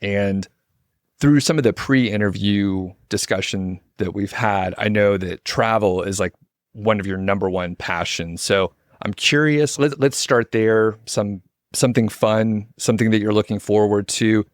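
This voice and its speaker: A man's voice: fairly low pitch, unhurried, dry recording, clean studio sound. A man hosting a podcast, talking in a friendly, informal way with lots of casual fillers. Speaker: podcast host. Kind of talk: casual interview chat.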